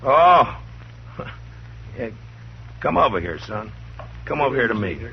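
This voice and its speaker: An actor playing a stern, steady frontier marshal, firm and commanding, in a 1950s radio drama. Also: male voice, mid-range voice, a little slow, creaky. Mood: amused. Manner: firm and commanding, with dry chuckles. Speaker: lead. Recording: muffled old radio broadcast, faint hiss.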